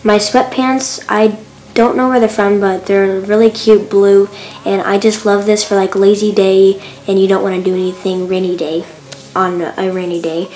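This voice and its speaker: A woman's voice: medium pitch, little pitch movement, normal speed, darkish tone, rough recording. A teenage girl filming a casual outfit vlog outdoors in a suburban yard, chatty and gushing, recorded on a phone camera set on a mailbox. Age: teens. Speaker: fashion vlogger.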